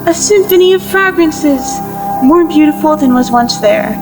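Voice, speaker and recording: A woman's voice: high voice, normal speed. A woman playing an exalted, theatrical poetic narrator in an audio play, proclaiming grandly in a declamatory mythic style. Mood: exultant. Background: music.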